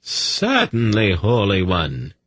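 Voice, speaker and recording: A man's voice: on the low side, fairly even intonation, very slow, no echo, voice pushed up. A man voicing a nervous, fawning snake keeper in an audiobook, pleading, apologetic and coaxing in manner. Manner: wheedling, apologetic, deferential.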